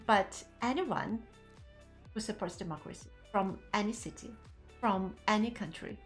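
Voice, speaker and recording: A middle-aged woman from Ukraine with a Ukrainian accent, hosting a scripted explainer and talking emphatically to the viewer with rhetorical asides. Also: medium pitch. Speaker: activist, channel host. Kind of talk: scripted direct-to-camera explainer.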